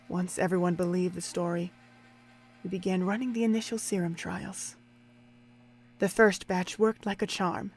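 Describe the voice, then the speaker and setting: A woman playing a cold, scornful female pony overseer in an audio drama, speaking in deliberate, contemptuous monologue. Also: mid-range voice, a little mellow.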